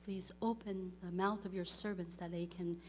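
A woman's voice: on the low side, steady tone, normal speed, a little hiss or hum. A woman interpreting a prayer at a church service in short, formal phrases.

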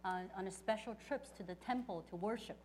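A woman working as a church interpreter, relaying a testimony phrase by phrase in a halting delivery. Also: medium pitch, background noise. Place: church service.

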